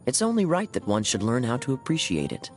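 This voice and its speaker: Suave, teasing cavalry captain, swooping melodically. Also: male voice, medium pitch, wildly swooping pitch, brisk. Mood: teasing, wry. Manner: smooth, playful, theatrical drawl. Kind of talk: video game character voice lines. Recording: studio voice over background music.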